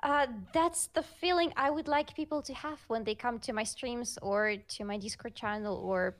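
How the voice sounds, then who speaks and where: A woman's voice: a little high, moderate pace. A woman whose first language is Russian, a streamer chatting as a guest in a casual interview, talking freely with frequent laughs and hesitant fillers.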